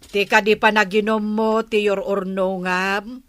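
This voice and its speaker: Bright, nagging actress as a fed-up woman. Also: mid-range voice, flat delivery, unhurried, no room sound, close to an everyday voice. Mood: scolding. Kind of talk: Ilocano radio drama acting.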